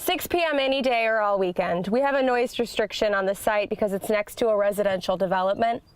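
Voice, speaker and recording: A woman's voice: medium pitch, fast, smooth, no echo, natural voice. A woman playing a busy, plainspoken construction equipment manager, answering as the customer in a scripted business phone call. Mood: busy, businesslike. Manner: matter-of-fact, plainspoken. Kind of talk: scripted business sales call role-play.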